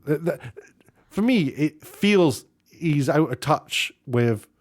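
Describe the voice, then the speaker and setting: A man's voice: fairly high pitch, animated, slow. Rambling, sarcastic British man. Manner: rambling, chatty, sarcastic asides. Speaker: online video commentator. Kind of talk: casual opinionated commentary, reading out tweets and figures.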